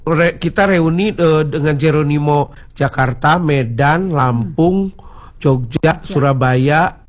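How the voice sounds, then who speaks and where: A man, a guest singer on a radio show, chatting in a relaxed way and listing places he has been. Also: fairly high pitch, takes it slowly. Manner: relaxed, listing things off casually. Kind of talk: casual interview chat.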